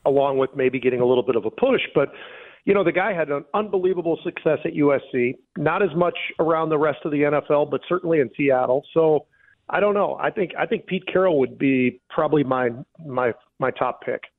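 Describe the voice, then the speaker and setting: A middle-aged or older American man giving measured, casual sports opinions as a guest analyst over a phone-like connection. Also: fairly high pitch, no echo. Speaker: former pro football player, sports show guest analyst. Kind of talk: casual reflective sports talk. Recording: tinny phone-like line.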